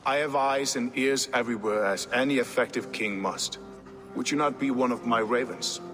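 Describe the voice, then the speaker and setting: An actor playing a shrewd, composed Viking king who speaks formally and with measured command in a dramatic game cutscene. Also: mid-range voice, lightly acted. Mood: courting, gracious. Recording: long hall reverb with music behind.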